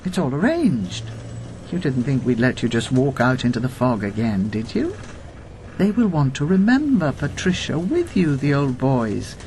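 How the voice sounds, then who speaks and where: Steely elderly woman with lively intonation. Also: very deep voice, a little hiss or hum, heavily acted. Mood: cold, accusing. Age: 70s or older. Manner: precise, deliberate, coldly insistent. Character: steely, accusing elderly woman, former wartime intelligence officer. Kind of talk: radio drama acting.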